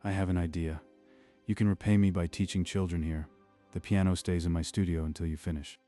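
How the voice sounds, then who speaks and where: An actor playing a kindly old man who owns a piano workshop, speaking in a calm, measured way for a manga dub. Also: low-pitched, close to an everyday voice.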